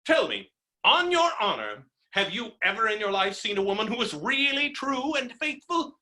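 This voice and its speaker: A man's voice: high voice, big pitch swings, normal speed, sharp tone, heavily acted. A man playing a blustering, boorish, melodramatic fellow who rails against women in a theatrical, mocking way, in a stage comedy reading. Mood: bitter contemptuous.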